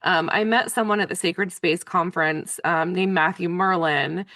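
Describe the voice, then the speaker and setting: A woman's voice: on the low side, normal speed, sharp tone, no echo. A woman hosting a podcast, talking enthusiastically and chattily with her guest. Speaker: podcast host. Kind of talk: casual conversational interview.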